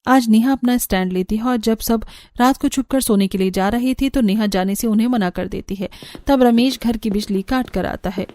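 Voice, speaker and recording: A woman's voice: fairly high pitch, moderate pace, mellow, no echo. A woman reading scripted narration for a cartoon story.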